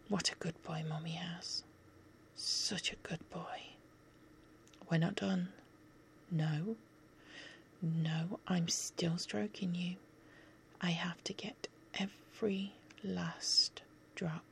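Female ASMR whisperer, low fry-edged whisper, unhurried, coaxing yet firmly in control. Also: level tone, fairly bright tone, slight background noise. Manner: soothing, coaxing, commanding.

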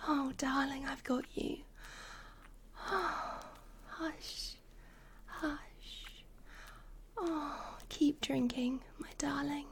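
A woman playing a doting, seductive lover in an intimate adult audio roleplay, breathy and coaxing, often slipping into whispers. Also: high-pitched, steady tone, very slow, pauses often, a little hiss or hum, heavily acted. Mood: soothing.

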